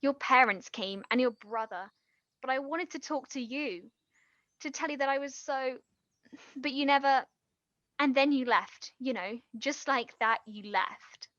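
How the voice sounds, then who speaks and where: An actress playing an earnest, guilt-ridden young woman, halting and pleading, in a naturalistic stage drama. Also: sits high, fairly bright tone, no echo, highs cut off. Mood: pleading, hurt.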